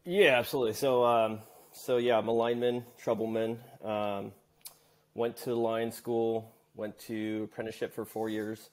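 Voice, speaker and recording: A young adult man from California, a podcast guest talking about his work in a relaxed, easygoing way. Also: on the low side, normal speed. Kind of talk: casual interview.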